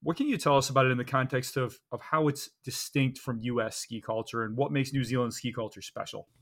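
A man's voice: mid-range voice, fairly even intonation, fairly quick, fairly bright tone. Curious male interview host. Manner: curious, asks long layered questions. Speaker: podcast host. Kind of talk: interviewing a guest.

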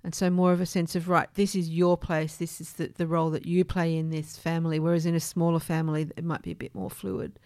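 Woman, podcast interviewer. Lower register, dry studio sound, inquisitive and conversational. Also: level tone, fairly quick, few pauses, darkish tone, no echo. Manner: inquisitive, thoughtful, affirming listener. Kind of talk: conversational research discussion.

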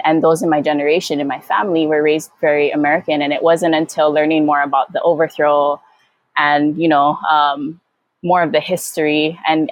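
A woman from Hawaii speaking reflectively as an interview guest, thoughtful and halting as she searches for words. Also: low voice, dry recording, dull audio. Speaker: guest, Hawaiian educator and activist.

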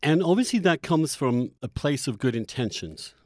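A man hosting a conversational interview, speaking earnestly and haltingly as he circles back to rephrase his questions. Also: medium pitch, animated, darkish tone, no echo, clean studio sound. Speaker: podcast host and interviewer.

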